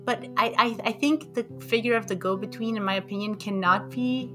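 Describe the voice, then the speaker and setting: A young woman chats on a podcast with a playful, curious tone, mixing jokes into her probing questions. Her voice sits at a middle pitch, with soft guitar music in the background. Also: steady tone, brisk. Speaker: podcast co-host. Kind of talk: casual conversational podcast.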